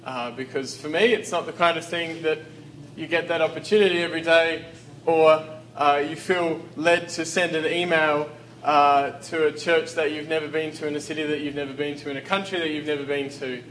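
A man's voice: fairly high pitch, steady tone, moderate pace, a bit bright, slight background noise, rough recording. A man preaching as a visiting guest in a church, hesitant and full of fillers and restarts, then reading scripture aloud. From Australia. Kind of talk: sermon. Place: church sanctuary. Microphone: handheld or stand mic.

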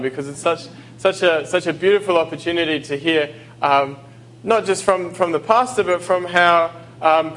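A man's voice: on the high side, fairly bright tone, poor audio. A man preaching as a visiting guest in a church, hesitant and full of fillers and restarts, then reading scripture aloud. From Australia. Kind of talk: sermon. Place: church sanctuary. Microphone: handheld or stand mic.

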